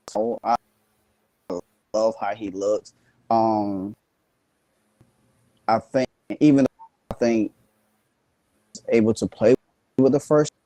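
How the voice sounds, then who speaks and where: Sleepy guy guesting on a sports show, low mellow voice, lots of ums and restarts, dry sound. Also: no room sound, rough recording. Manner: halting, full of fillers and restarts. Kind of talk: casual sports talk.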